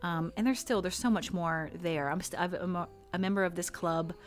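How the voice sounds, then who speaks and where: Relaxed-voiced woman, lowish pitch. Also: fairly quick. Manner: calm, relaxed voice. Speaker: hypnotist guest. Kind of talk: casual podcast conversation.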